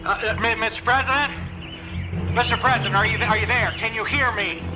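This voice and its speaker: An actor voices a self-important male senator in a radio drama. His voice sits high and trips over itself with stammers and halts. He is rattled yet boastful, and music plays clearly underneath. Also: brisk. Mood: flustered.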